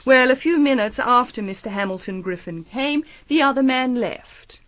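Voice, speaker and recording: A shrewd lady sleuth in a period radio mystery. Her voice sits fairly high and swoops with lively inflection. She is airily polite and disarmingly chatty, recorded close and dry. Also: moderate pace, no room sound, highs cut off. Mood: slyly probing. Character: shrewd, breezy female amateur detective, feigning innocence. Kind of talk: audio drama acting, period mystery.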